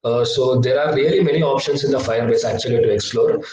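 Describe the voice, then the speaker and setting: A young man teaching a live online coding lesson, hesitant with many filler sounds, his voice slightly low from a cough. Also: quick pace, a little mellow. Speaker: workshop instructor. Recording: echoey, distant laptop mic.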